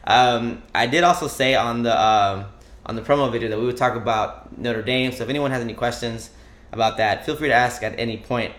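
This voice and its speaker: A man's voice: medium pitch, fairly quick, a bit bright. A man hosting a weekly live show, talking in an upbeat, enthusiastic and playful way. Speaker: host. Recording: echoey room.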